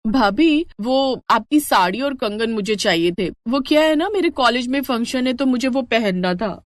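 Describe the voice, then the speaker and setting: A woman's voice: a little high, expressive, moderate pace, no room sound, studio quality, natural voice. A woman voicing a covetous young college-going sister-in-law, wheedling and entitled, in a storybook drama.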